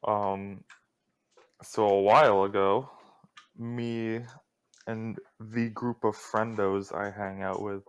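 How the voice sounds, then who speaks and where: A young man co-hosting a casual podcast, talking in a droning, flat voice with little emotion and going off on rambling tirades. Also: lowish voice, slow pace, long pauses, smooth. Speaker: podcast co-host.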